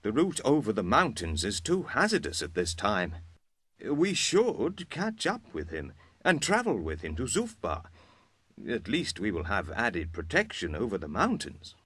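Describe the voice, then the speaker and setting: An actor playing a dutiful, cautious military adviser in a fantasy video game, formal and emphatic. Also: male voice, fairly low pitch, wildly swooping pitch, unhurried, fairly bright tone, husky, no room sound, full character voice. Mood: cautious advice.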